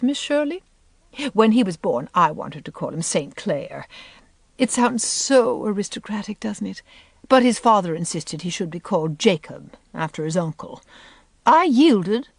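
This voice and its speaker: A woman's voice: on the high side, dry recording, pitched above the performer's own voice. A woman voicing a haughty, affected society mother in a storybook, indignant and insistent, with pompous, emphatic delivery. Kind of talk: audiobook character voice.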